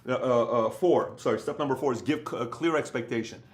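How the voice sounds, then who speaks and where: A man's voice: mid-range voice, fairly quick. A man in his thirties giving an emphatic, repetitive motivational business talk to camera, seated in a room with bookshelves. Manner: emphatic, repetitive, acts out other people's voices. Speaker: business coach.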